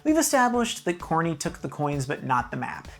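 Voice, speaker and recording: A woman's voice: low-pitched, sing-song, moderate pace, faint music behind. A woman narrating a scripted comedic video review in a wry, mock-serious, emphatic way.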